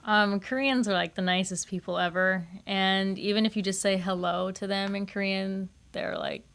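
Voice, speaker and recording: Young woman, American accent, casual rambling chat, medium pitch, noticeable vocal fry. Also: steady tone, normal speed, a bit bright. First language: English. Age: young adult. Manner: informal, rambling, frequent use of "like". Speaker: podcast guest, English major.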